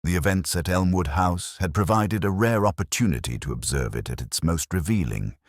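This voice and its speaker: Very deep male mystery narrator. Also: animated, dry recording, clean studio sound. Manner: measured, formal storytelling. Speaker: audiobook narrator. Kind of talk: scripted literary mystery narration.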